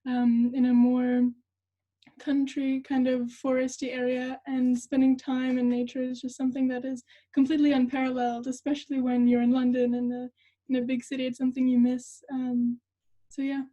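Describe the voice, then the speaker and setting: High, flat-voiced woman on dull audio. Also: normal speed. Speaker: panel speaker. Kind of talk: casual talk.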